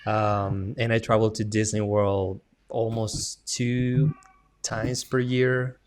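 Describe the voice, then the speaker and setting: A man from Colombia with a Colombian accent, speaking as a panel guest in a relaxed, hesitant way with fillers. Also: lowish voice, slow. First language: Spanish. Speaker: travel agent and website editor. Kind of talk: casual panel chat.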